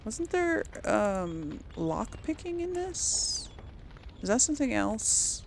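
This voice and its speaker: A woman's voice: a little high, big pitch swings, slow pace, gravelly, slight background noise. A woman giving casual video game commentary, conversational and musing as she thinks aloud and talks herself through choices. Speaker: let's play host.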